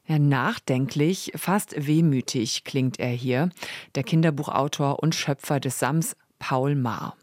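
Low-voiced woman hosting, dry close sound. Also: slow pace, darkish tone, no echo. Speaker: podcast host. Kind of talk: scripted radio narration.

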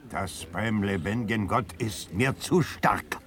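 An actor playing a blunt, indignant old cavalry colonel in a classical verse radio drama. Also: male voice, lowish voice, measured pace, fairly bright tone.

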